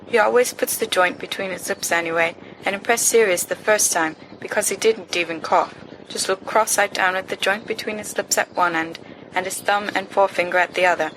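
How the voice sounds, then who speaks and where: A woman reading fan fiction aloud as an amateur narrator, in an expressive storytelling style. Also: mid-range voice, fairly quick. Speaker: volunteer audiobook reader. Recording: noisy amateur home recording.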